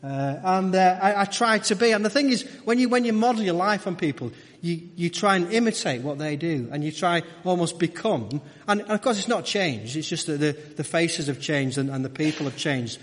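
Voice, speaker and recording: A middle-aged man preaching a conversational sermon, halting and repeating his phrases as he goes. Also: fairly high pitch, expressive. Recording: echoey hall with long reverb.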